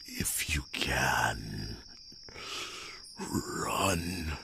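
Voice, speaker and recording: A man voicing a weary, solemn dragon in a breathy whisper, halting and grave, for an ASMR fantasy roleplay. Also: a bass voice, heavy background noise, heavily acted.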